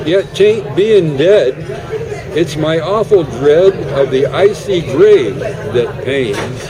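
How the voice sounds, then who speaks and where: Slow, pleading, freezing prospector played by a man. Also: fairly high pitch, rough recording. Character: freezing, low-spirited male gold prospector dreading an icy grave. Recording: live recording with crowd noise.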